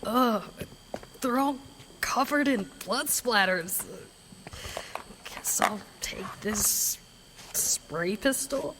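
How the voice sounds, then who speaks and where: An actress playing a nervous, timid young person with a hesitant, worried manner in an audio drama. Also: female voice, a little high, expressive, very slow, long pauses, background noise, heavily acted. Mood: uneasy. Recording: clear music under the voice.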